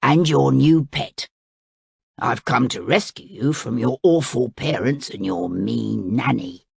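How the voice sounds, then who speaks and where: A woman doing a slow, deep-voiced greedy crocodile. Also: gravelly, slightly rough, dry recording, studio quality, full character voice. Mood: slow menacing. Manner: slow, low drawl.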